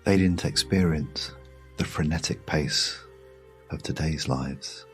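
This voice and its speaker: A British man narrating a guided sleep meditation story in a hushed, soothing, measured way with long pauses. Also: extremely low pitch. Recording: music behind the voice. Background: music.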